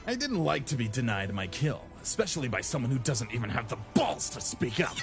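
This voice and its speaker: A man playing a cocky, hot-tempered young soldier with a creaky voice and a taunting, sadistic manner in a sci-fi drama. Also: medium pitch, big pitch swings, a little fast, music in the background. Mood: smug.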